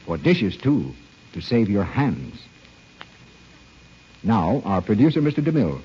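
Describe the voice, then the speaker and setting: A man announcing on an old-time radio show, reading a scripted commercial in a polished, emphatic sales pitch. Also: big pitch swings, a little slow, warm tone, slightly rough. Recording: muffled old radio broadcast.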